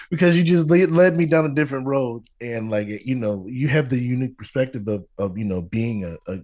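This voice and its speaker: A man's voice: medium pitch, normal speed, darkish tone. A man hosting a podcast interview, asking loose, rambling questions. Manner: rambling, lots of filler and restarts. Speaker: podcast host. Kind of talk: casual interview chat.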